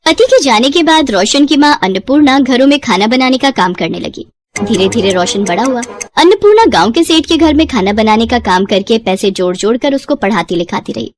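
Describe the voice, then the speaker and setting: A woman's voice: a little high, slight background noise. A woman reading a scripted moral story as its narrator.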